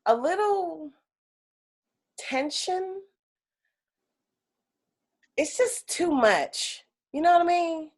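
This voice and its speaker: A woman teaching an energy healing class on a video call, chatty and animated, with lots of fillers. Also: high voice.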